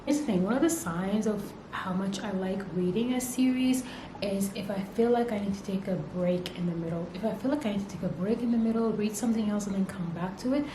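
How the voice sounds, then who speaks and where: A young woman whose first language is Arabic, chatting casually and excitedly about books she is reading for her vlog, often tagging "okay?" and "like" onto her sentences. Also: medium pitch, a little fast. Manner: gushing, excitable, repeats "okay?" and "like". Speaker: book vlogger. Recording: echoey room with noticeable background noise.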